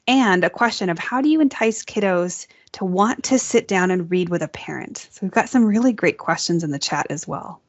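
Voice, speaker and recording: A woman's voice: lowish voice, moderate pace, hardly any pauses. A woman co-hosting a webinar, casually relaying comments from participants. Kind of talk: casual reading out of audience comments. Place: remote online video call. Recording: slightly dull online call audio.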